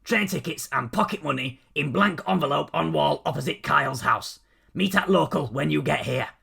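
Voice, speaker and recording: Super croaky raspy uncle, bright forceful voice, clipped, audiobook. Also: male voice, on the high side, moderate pace, voice pushed up, exaggerated voice. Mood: urgent, terse. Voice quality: gravelly, raspy. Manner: terse, clipped. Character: gruff adoptive uncle reading out his terse letter.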